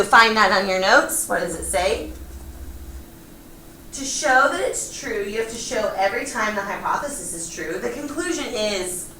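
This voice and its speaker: A woman from Texas teaching a geometry class, lively and playful as she coaxes her students along. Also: on the high side. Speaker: high school geometry teacher. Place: classroom, recorded from the back. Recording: echoey classroom, faint room noise.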